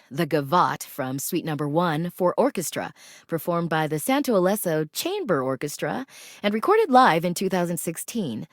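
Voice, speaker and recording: An actress playing a genteel, cultured classical radio DJ in a video game, announcing in a measured, precise, formal way. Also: female voice, on the low side, dry recording, clean studio sound. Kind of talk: scripted public radio announcing.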